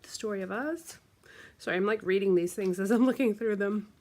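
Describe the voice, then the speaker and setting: A woman's voice: medium pitch, brisk, a little mellow. A woman from the United States, congested from the flu, chatting casually with lots of ums and likes as she shows off scrapbooking supplies. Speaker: craft vlogger. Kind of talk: casual show-and-tell unboxing.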